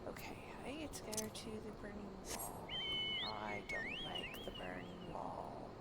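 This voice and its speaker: Female game streamer, casual and muttering, slow-paced, noisy background. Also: medium pitch, huge pitch swings. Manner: muttering to herself in short fragments. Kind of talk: casual gameplay commentary, thinking aloud.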